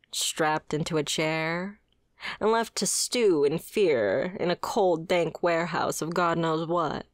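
Mafia boss played by a woman: wry, principled, flirtatious, lower female register, very dry close sound, ASMR roleplay. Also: dry recording. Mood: reassuring, flirtatious. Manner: sardonic, conversational, swearing. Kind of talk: scripted ASMR roleplay acting.

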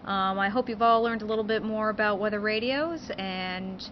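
A woman's voice: medium pitch, moderate pace. Young woman explaining, muffled noisy recording. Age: young adult. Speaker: weather service presenter. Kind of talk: informal educational demonstration. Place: weather service office full of computers. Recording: muffled audio with clear background noise.

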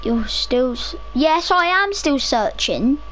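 A young woman chatting casually over her own gameplay, rambling to herself, her voice a little rough from a cough. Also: sits high, expressive, takes it slowly, fairly bright tone, a little hiss or hum, rough recording. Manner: chatty, rambling, self-talking. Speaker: gaming video creator.

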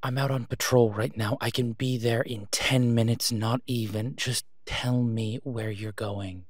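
A man playing an exasperated, protective vigilante in a phone-call audio roleplay, speaking urgently and emphatically, with pleading pauses. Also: medium pitch, steady tone, no room sound, clean studio sound, natural voice. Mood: worried, pleading. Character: exasperated, protective masked male vigilante on patrol.

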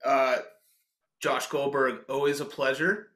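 A man's voice: mid-range voice, level tone, measured pace, fairly bright tone. A man in his forties hosting a casual interview podcast over a video call, speaking into a desk microphone. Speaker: podcast host. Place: small indoor office, video call. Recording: echoey room on video call.

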